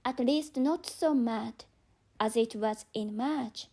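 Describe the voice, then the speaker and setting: A polite young storybook girl, played by a woman, earnest and full of questions. Her voice is high and her pace slow, with a non-native English accent. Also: warm tone. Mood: musing. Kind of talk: audiobook character reading.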